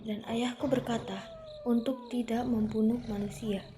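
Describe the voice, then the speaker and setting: A woman voicing a grieving young female vampire in an ASMR roleplay, who talks softly and hesitantly and stammers when flustered. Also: a little high, steady tone, normal speed, noisy background, lightly acted. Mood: brooding.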